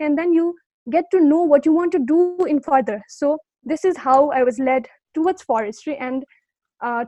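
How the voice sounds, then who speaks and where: A young woman in her early twenties with a Nepali accent, speaking earnestly and softly as a podcast guest, often pausing to search for words. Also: high voice, no echo, low-quality recording. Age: young adult. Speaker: guest, youth climate activist. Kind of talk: podcast interview.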